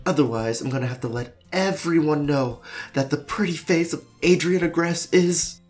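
A woman's voice: low voice, normal speed, darkish tone, faint music behind, close to an everyday voice. A woman voicing a sweet, dorky teenage boy in a comic dub, teasing and earnest. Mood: playful. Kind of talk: animated-style comic dub acting.

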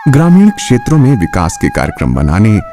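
A man reading scripted documentary narration in a formal, measured, explanatory manner. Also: mid-range voice, huge pitch swings, warm tone. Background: background music.

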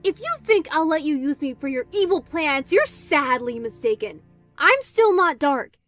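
Woman voicing a very high-voiced teen princess. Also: very animated, moderate pace, fairly bright tone, soft background music. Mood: defiant. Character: earnest teenage princess, troubled yet defiant. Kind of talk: cartoon comic dub acting.

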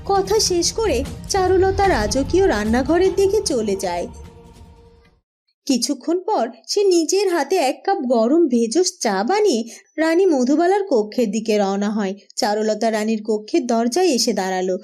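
A woman's voice: high-pitched, lively intonation, normal speed, warm tone, soft background music. A woman narrating a scripted fairytale as the storyteller.

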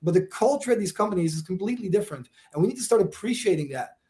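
A man's voice: high voice, expressive, very fast, mellow. A man in his thirties with a Dutch accent, speaking as a guest in a video-call panel discussion and repeating words as he starts his points. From Netherlands. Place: home room, video call.

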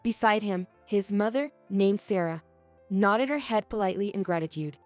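A woman narrating a heartfelt story in a calm, even way. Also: mid-range voice, normal speed, faint music behind.

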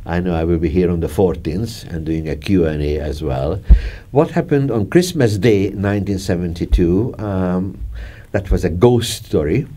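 An older man with a Hungarian accent recalls his work with a chuckle, his phrases halting and looping back. His voice sits very low and sounds warm and mellow. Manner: chatty, laughing, gesturing, halting. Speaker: film director. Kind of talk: reminiscing interview. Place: dark studio, seated in armchair.

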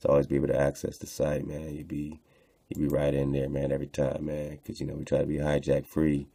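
Male online host, deep gravelly register, slangy, repetitive, unscripted casual commentary. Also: monotone, fast, dark tone. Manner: rambling, repeats phrases, slangy "man" and "you know". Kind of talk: casual unscripted commentary while browsing on screen.

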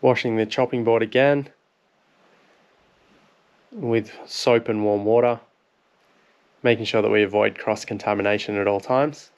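A man in his thirties with an Australian accent, a fishing vlogger calmly explaining how to prepare fish in a voice-over. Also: fairly low pitch, unhurried, pauses often, dull audio. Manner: relaxed, plain explaining. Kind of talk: instructional cooking voice-over.

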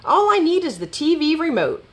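A woman voicing a fussy, excitable male rabbit in a children's storybook, emphatic and easily flustered. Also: high voice, moderate pace, pitched above the performer's own voice. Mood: fussy, eager.

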